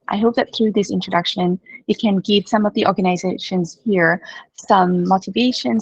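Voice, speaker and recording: A woman's voice: medium pitch, creaky, breathy edge, no echo. A woman moderating an online webinar over a video call, talking fluently with filler words. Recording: dull dry video call audio.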